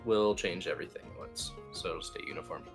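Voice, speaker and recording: A man in the role of instructor, casually walking someone through a software tutorial in an easygoing, informal way. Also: sits low, fairly quick. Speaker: instructor, team lead. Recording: music playing behind the voice. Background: background music.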